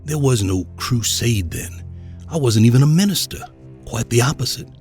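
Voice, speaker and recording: A zealous male reverend played by an actor speaks with solemn, righteous composure in an audio drama. His mid-pitched voice moves expressively, with music underneath. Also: animated, normal speed, darkish tone, lightly acted. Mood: composed, righteous. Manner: solemn, preacherly.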